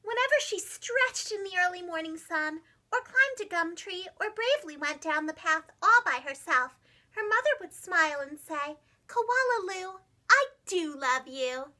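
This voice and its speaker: A woman reading a children's storybook aloud like a preschool teacher, expressive and dramatic, speaking carefully for young listeners. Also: very high voice, moderate pace. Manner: animated, dramatic, gentle enunciation for young children.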